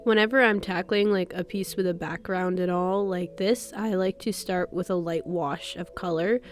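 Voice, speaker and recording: A young woman hosting her art channel, talking casually while she paints. She has a cold, so her voice sounds stuffy, and she rambles in a chatty way with soft laughs and fillers. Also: fairly low pitch, fairly even intonation, normal speed, a little hiss or hum. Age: young adult. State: stuffy, sick voice with a cough. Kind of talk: casual chat over a paint-with-me video.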